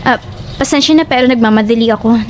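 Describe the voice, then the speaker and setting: A concerned young woman played by an actress in a horror audio drama, caring yet troubled. Her voice is fairly high, with noticeable noise behind it. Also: quick pace, natural voice. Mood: worried, hurried. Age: young adult.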